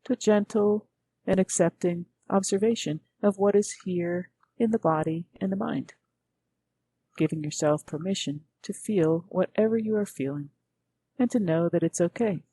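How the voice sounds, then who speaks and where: A woman guiding a meditation as a teacher, speaking gently in measured, unhurried phrases with pauses. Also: fairly low pitch, breathy edge. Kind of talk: guided meditation narration.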